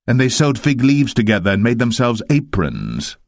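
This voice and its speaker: A man narrating scripture as a dramatised reading, solemn and measured. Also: mid-range voice, wildly swooping pitch, gravelly, no echo, clean studio sound.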